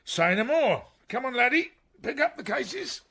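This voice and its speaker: An actor playing a grumpy, bullying, gluttonous chief inspector in a radio drama, blunt, sarcastic and pompous. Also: male voice, extremely high pitch, very animated, a little slow, bright, forceful tone, slight background noise, full character voice. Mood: impatient, bossy. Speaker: senior police detective. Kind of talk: BBC radio drama acting.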